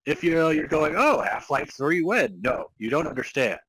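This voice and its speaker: A man's voice: on the high side, big pitch swings, normal speed, a bit bright, slightly rough, no echo. A man co-hosting a podcast in casual comic banter, joking with a dry, mock-serious manner. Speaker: podcast co-host.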